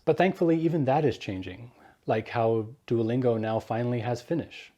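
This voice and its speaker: A young man in his twenties with a warm, mellow voice, talking straight to the camera. He explains things earnestly and hands out direct, practical advice in a personal vlog. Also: mid-range voice. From Germany. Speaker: vlogger.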